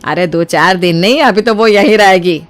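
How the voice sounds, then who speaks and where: A woman playing a kindly older mother-in-law in a story, with an affectionate, coaxing, expressive manner. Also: medium pitch, moderate pace, faint music behind, voice pushed down, natural voice. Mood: doting.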